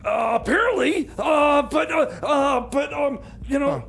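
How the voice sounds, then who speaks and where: A man's voice: extremely high pitch, animated, measured pace, fairly bright tone, raised voice, heavily acted. A man playing a flustered, scatterbrained time machine mechanic in radio comedy, stammering, rambling and trailing off. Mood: rambling, evasive. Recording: clear background noise.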